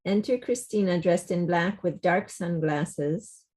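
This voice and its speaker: Mellow woman reading stage directions. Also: on the low side, a little slow. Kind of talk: scripted narration at a play table read. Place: indoors, on a video call.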